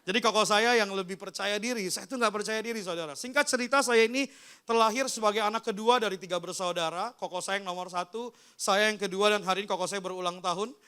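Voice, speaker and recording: High, bright-voiced male pastor. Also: quick pace, smooth. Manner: informal storytelling, often addressing listeners directly. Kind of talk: personal testimony in a sermon, addressing a congregation.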